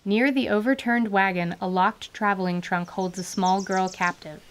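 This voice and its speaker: A woman giving vivid, deliberate scripted narration for a fantasy radio drama. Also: mid-range voice, steady tone, a little hiss or hum. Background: music.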